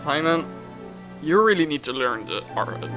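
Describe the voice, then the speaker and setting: A woman's voice: low-pitched, big pitch swings, normal speed, music in the background. A woman streamer giving offhand commentary while playing a game. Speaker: gaming streamer.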